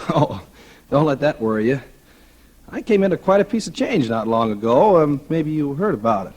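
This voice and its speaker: Cocky 1940s radio newspaperman, husky voice, slangy and wisecracking, restless would-be novelist. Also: a little high, sing-song, normal speed, a little mellow. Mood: breezy, chatty. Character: cocky, restless male newspaper reporter and aspiring crime novelist. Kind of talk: 1940s radio drama acting. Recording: old 1940s radio recording.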